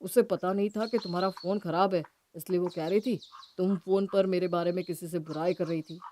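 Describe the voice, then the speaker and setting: A woman voicing a calm, straightforward young married man in a cartoon moral story. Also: lowish voice, a little fast, a little hiss or hum, pitched below the performer's own voice, close to an everyday voice. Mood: confiding.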